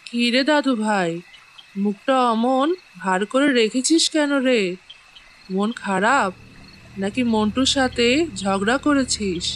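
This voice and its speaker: A woman playing an elderly village grandmother in a cartoon moral tale, caring and gravely admonishing. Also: fairly high pitch, slow, pauses often, a little hiss or hum, rough recording. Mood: concerned. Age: older. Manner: admonishing, storytelling.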